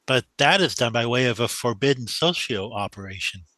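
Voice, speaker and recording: A man's voice: mid-range voice, animated, a little fast, sharp tone, no echo. An actor playing an earnest, humble young mathematician who speaks deferentially to his mentor in a radio drama. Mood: questioning, humble.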